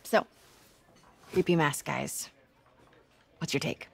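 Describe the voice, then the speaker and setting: A dry, teasing young reporter. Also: female voice, sits low, takes it slowly, gravelly, breathy edge, full character voice. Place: diner.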